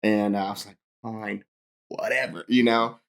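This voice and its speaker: A man's voice: on the low side, fairly even intonation, normal speed, vocal fry, fairly bright tone. A young man from Hawaii, a pastor and podcast guest, telling stories in a casual chat and laughing often.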